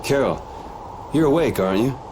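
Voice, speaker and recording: A man's voice: mid-range voice, expressive, moderate pace, background noise, lightly acted. An actor playing a laid-back young male party leader in a video game, talking in a dry, casual way. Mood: dry, caring. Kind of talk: English video game dub acting.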